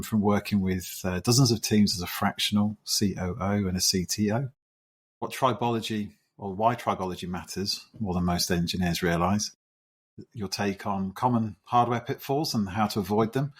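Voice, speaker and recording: A man hosting a design podcast, running through a guest's background in a relaxed listing way. His voice sits fairly low, and ums sprinkle through his phrasing. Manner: loose, listing, with fillers. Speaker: podcast host. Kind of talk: interview introduction.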